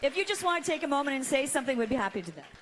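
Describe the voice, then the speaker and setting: A woman's voice: high voice, big pitch swings, racing, a faint crowd. A woman co-hosting a live awards ceremony, moving between scripted announcements and off-the-cuff remarks. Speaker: awards gala co-host.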